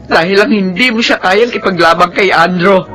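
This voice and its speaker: A woman's voice: mid-range voice, normal speed, lightly acted. Stern, reproachful elderly grandmother played by a woman. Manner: reproachful, firm. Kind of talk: Filipino radio drama acting. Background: music.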